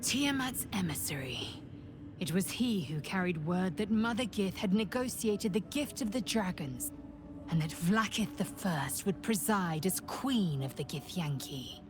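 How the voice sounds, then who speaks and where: A stern, devout warrior woman from a fantasy game, speaks in a fairly low register. Her delivery is slow, formal and solemn, with proud scorn, and music plays behind her. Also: animated. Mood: reverent. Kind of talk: dramatic fantasy game dialogue.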